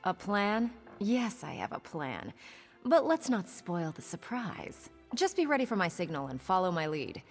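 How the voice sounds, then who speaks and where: Roguish female pirate for a fantasy game: sardonic, lowish voice, reverberant hall with music behind. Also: very animated, a little slow. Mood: wry, teasing. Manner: sardonic, conspiratorial. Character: scheming roguish female pirate, sly and cynical. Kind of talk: video game character dialogue.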